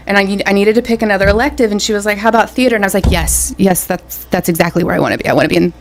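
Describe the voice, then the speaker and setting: A woman's voice: medium pitch, rapid-fire, soft background music. A woman in her twenties, a stage actress and guest, chatting casually, friendly and laughing easily as she tells stories. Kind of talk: casual interview chat.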